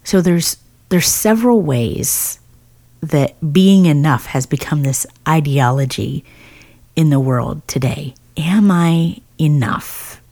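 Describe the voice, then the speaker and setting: Low, slow-talking woman podcast host. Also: dry recording. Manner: earnest, encouraging, addresses listener as friend; scripted upbeat intro, then relaxed musing talk. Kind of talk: faith-based podcast talk.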